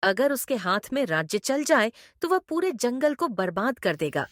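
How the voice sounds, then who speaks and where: A woman reading scripted narration for an animated moral story. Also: on the high side, normal speed, no echo, studio quality.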